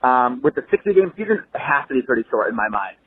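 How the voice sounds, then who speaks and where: A man's voice: mid-range voice, very animated, fast talker, breathy edge. A man from Boston leaving a casual voicemail for a sports show, heard over a narrow, tinny phone line. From Boston area, United States. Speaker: voicemail caller. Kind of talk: casual fan question.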